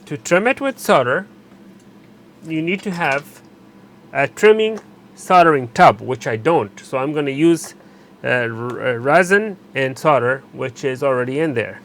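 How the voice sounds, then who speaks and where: A man narrating a hands-on repair tutorial while he works, pausing and correcting himself as he goes. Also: medium pitch, fairly bright tone, a little hiss or hum. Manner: unscripted, pauses and self-corrects while working. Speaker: electronics repair instructor.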